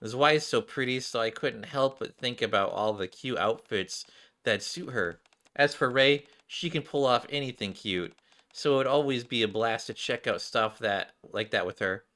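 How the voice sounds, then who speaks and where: Shy schoolgirl fashion lover for a game scene, read by a man, bright, earnest, anxious. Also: medium pitch, normal speed. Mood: earnest, fond.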